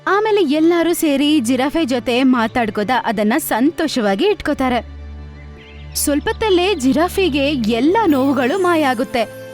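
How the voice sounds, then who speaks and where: Female narrator, high voice, swooping intonation, children's moral tale, music behind. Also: expressive, measured pace. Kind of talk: animated children's storytelling narration.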